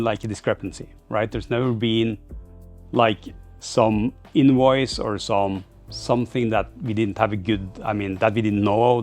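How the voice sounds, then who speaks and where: Low, creaky-voiced man, rambling answers. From Norway. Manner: hesitant, loosely phrased, rambling. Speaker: startup founder being interviewed. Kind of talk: informal interview answers.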